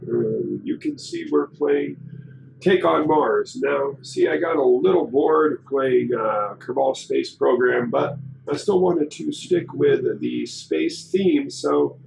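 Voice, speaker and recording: A man hosting a casual gameplay commentary, hoarse and raspy from a cold, explaining things in a rambling way with many fillers. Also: mid-range voice, slow pace, darkish tone, a little hiss or hum, low-quality recording. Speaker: gaming channel host.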